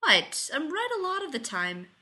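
A woman's voice: high-pitched, very animated, normal speed, pushed and bright, voice pushed up, exaggerated voice. A woman voicing a casual, unruffled male fugitive with a dry, teasing manner, in a story reading. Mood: smug teasing. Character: laid-back, teasing adult male fugitive driver.

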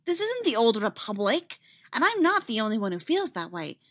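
A woman voicing a distressed, insistent young female Jedi apprentice in dramatic audiobook dialogue, with emphatic, halting protests. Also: a little high, sing-song, pitched above the performer's own voice. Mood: urgent, insistent.

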